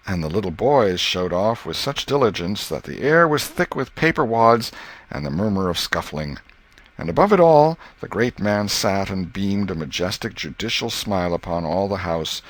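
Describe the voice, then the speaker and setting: A man narrating a classic novel as an audiobook, in a measured storytelling delivery with gentle irony. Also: sits low.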